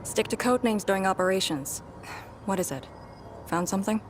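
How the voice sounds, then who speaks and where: A woman voices a focused, dutiful young female operative from a video game. Her delivery is clipped and no-nonsense, at a middle pitch, with prominent music behind her. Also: animated, normal speed, close to an everyday voice. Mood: stern, focused. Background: loud music and game sound.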